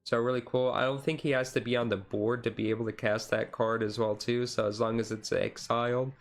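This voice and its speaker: A man streaming a casual ASMR-style card showcase, easygoing and halting as he reads out cards, his voice a little rough and taken gently. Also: mid-range voice, level tone. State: voice slightly rough, being taken easy.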